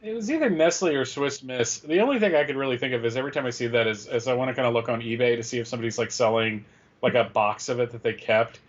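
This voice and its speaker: A man's voice: mid-range voice, fast. Guy co-host just chatting, medium voice, a bit muffled. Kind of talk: casual chat.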